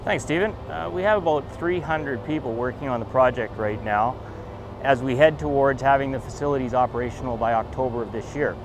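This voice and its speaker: A man's voice: mid-range voice, a little fast, rough recording. A middle-aged man, giving prepared interview answers as a company chief executive at an outdoor site. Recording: music and outdoor site sound behind.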